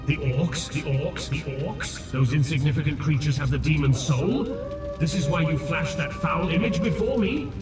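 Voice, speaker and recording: Ancient game dragon, bitter, reclusive, repetitive, distant, cavernous echo. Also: male voice, fairly high pitch, animated, moderate pace. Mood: scornful disbelief. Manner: obsessively repeats words. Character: ancient reclusive male dragon, bitter, half-mad and brooding. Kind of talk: fantasy game cutscene acting. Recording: distant, heavily echoing, loud music.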